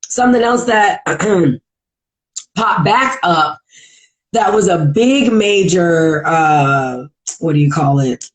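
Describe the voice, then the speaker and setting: A woman with a heavy, raspy voice and a congested throat, hosting a casual live podcast chat, chatty and full of "like" and "um", often clearing her throat. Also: fairly low pitch, expressive, takes it slowly, long pauses, low-quality recording. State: congested from allergies. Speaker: podcast host. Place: at home in Virginia.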